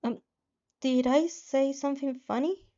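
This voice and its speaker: A shy young man played by a woman reader talks politely and hesitantly, eager to please. The delivery is lifted in pitch with a soft, mellow tone, as in an audiobook story. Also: measured pace, dull audio. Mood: puzzled.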